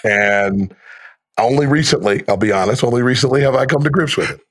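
A man's voice: mid-range voice, fairly quick. A man in his fifties, a guest on a podcast, talking in a laid-back, storytelling way and laughing easily. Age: 50s. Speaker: guest, radio play-by-play announcer. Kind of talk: casual podcast conversation.

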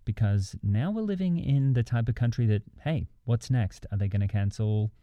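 Mild, pleased-with-himself church podcast host, played by a man: earnest rambler, very soft dark tone, dry close sound. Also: mid-range voice, lively intonation, moderate pace, no echo, highs cut off, lightly acted. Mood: earnest concern. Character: mild, self-satisfied evangelical pastor-type podcast host. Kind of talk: improvised satirical church podcast banter.

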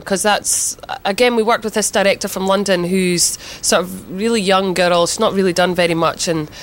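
A woman's voice: mid-range voice, steady tone, moderate pace, music in the background. A woman in an interview as a band singer, speaking candidly, laughing often and swearing freely, with a Scottish accent. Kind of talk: casual interview banter.

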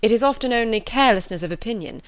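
A woman voicing a proud, reserved young gentleman who speaks in measured, lecturing tones. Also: a little high, lively intonation, no room sound, pitched above the performer's own voice, natural voice. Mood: cool.

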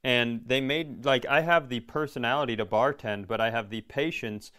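A man in casual comic banter as a podcast co-host, opinionated and quick to swear. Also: medium pitch, bright, forceful tone. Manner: opinionated, profane. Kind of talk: casual comedic banter and ranting.

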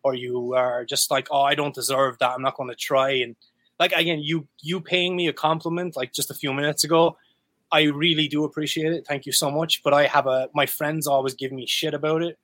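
A man in his thirties with an Irish accent, a guest musician talking frankly and profanely, with lots of halting restarts. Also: fairly high pitch, flat delivery, fairly bright tone, no echo. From Ireland. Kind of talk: candid casual interview talk.

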